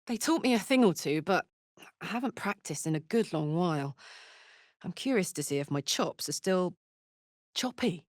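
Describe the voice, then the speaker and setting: An actress playing a brash, earthy, big-hearted woman warrior in a video game, blunt and informal, quick to swear. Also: medium pitch, a bit bright, no room sound. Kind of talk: video game character voice acting. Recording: dry close studio recording.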